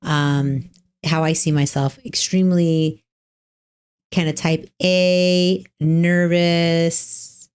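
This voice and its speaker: A woman with a low voice hosts a relaxed podcast chat. She rambles warmly through her points, restarting sentences and leaning on "like". It sounds like easy talk between friends. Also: very slow, clean tone. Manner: chatty, rambling, lots of "like" and restarts. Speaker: podcast host and trial attorney. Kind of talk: casual podcast conversation.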